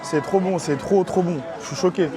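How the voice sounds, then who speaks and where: A young man from France vlogging his walk through busy city streets, talking to his viewers in excitable, slangy speech. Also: high-pitched, normal speed, over a noisy crowd. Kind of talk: casual travel vlog talk. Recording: music mixed under the voice.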